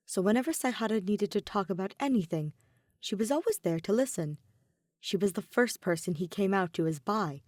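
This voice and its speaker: A woman reading a fan fiction story aloud as an expressive narrator. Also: mid-range voice, no room sound, studio quality. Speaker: podfic narrator.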